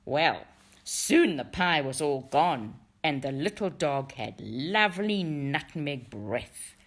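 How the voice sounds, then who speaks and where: Low-voiced woman reading a tale, unhurried and animated. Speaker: reader of a folktale for a book study group. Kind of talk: expressive storybook narration.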